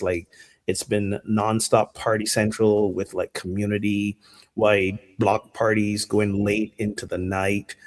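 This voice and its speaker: A man preaches in a casual, talky way, stopping often for ums and uhs. His voice sits somewhat low. He sounds like he is speaking into a computer from home. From Barbados. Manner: relaxed and chatty, many fillers. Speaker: minister. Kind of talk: conversational sermon. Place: home abroad.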